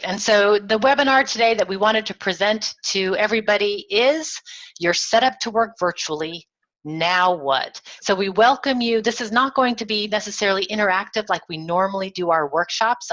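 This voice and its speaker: Upbeat woman from Germany, webinar host, mid-pitched voice, dull video call audio. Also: fairly bright tone. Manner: upbeat, brisk, conversational. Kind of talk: webinar presenting.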